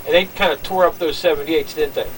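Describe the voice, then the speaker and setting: A man hosting a radio oldies show, talking in quick, chummy patter and interviewing his guest. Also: fairly high pitch, distant mic, low-quality recording. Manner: upbeat, chummy, fast patter. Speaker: radio disc jockey host.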